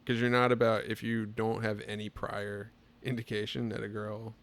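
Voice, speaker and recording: A man in his twenties, a podcast guest, chatting casually and telling stories in a relaxed way, full of fillers. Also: fairly low pitch, normal speed. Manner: relaxed storytelling, lots of filler "like". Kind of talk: casual chat.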